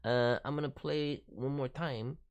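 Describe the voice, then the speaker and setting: A man's voice: medium pitch, steady tone, measured pace, clean tone, lo-fi sound. A man giving casual, thoughtful critique as a voice director, hedging as he talks. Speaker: voice director reviewing demo reels.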